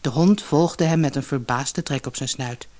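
A woman reading a children's fairy tale as an expressive audiobook narrator who acts out the dialogue. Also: very deep voice, moderate pace.

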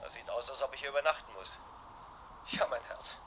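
A man's voice: mid-range voice, fairly quick, pushed and bright, lightly acted. An actor playing a chatty, easygoing, affectionate man on a call to his sweetheart, in a German radio crime drama. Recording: faint background noise and ambience.

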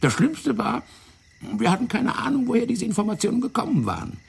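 Husky, wildly animated spymaster. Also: male voice, very high-pitched, exaggerated voice. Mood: smug, explanatory. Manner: theatrical, condescending, drawn-out explaining. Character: fat, wily, self-righteous male French intelligence officer. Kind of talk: German radio drama acting.

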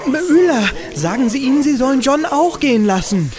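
A woman's voice: high voice, sing-song, moderate pace. Woman acting a spirited schemer amid crowd noise. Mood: commanding. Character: scheming, spirited female office worker posing as goddess. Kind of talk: comedic audio drama acting.

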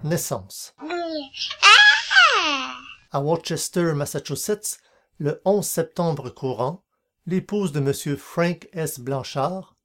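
A narrator with a mid-range voice reads prepared text in a formal podcast style. The delivery is measured and careful, like someone presenting old printed notices aloud. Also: medium pitch, darkish tone. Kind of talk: scripted narration, reading archival newspaper notices.

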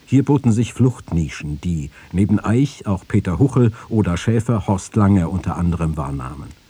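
A man's voice: deep voice, animated, normal speed, mellow, husky. A man formally reading written quotations for a radio feature. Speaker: reader of quotations.

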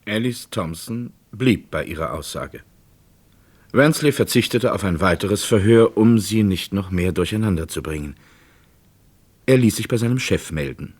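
A man narrating a scripted true-crime radio drama in a measured, matter-of-fact way. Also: on the low side, expressive, breathy edge.

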